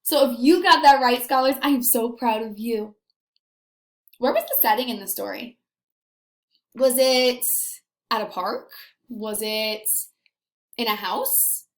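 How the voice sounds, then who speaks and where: A woman teaching young children in a read-aloud lesson, animated and expressive as she puts questions to them. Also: on the high side, unhurried, pauses often, roomy sound. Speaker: elementary school teacher.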